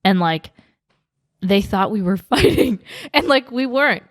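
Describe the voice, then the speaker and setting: A young woman co-hosting a podcast, soft-spoken and quiet, chatting casually with lots of fillers. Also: mid-range voice, lively intonation, measured pace, dry recording, clean studio sound. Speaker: podcast co-host. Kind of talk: casual chat.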